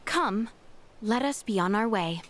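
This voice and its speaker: An actress playing an earnest, resolute young princess in a video game, speaking with formal poise. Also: female voice, medium pitch, lively intonation, a little slow, a bit bright, a little hiss or hum. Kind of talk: video game cutscene acting.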